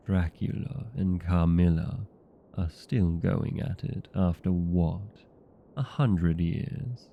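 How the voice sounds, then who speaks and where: A man playing a chatty, petty, century-old vampire in a comedic audio roleplay, talking in a wry, conversational, mildly complaining way with drawn-out words. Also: low voice, creaky, dull audio, exaggerated voice. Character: chatty, petty, 127-year-old male vampire being interviewed.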